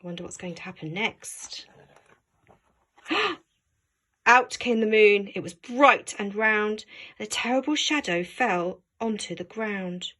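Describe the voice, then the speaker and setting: A woman reading a children's story aloud as a teacher, expressive and dramatic, engaging young listeners. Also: medium pitch, a little slow.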